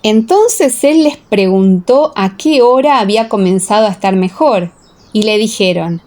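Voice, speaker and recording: A woman's voice: medium pitch, a little slow, a little mellow. A woman reading scripture as the narrator of a dramatized audiobook.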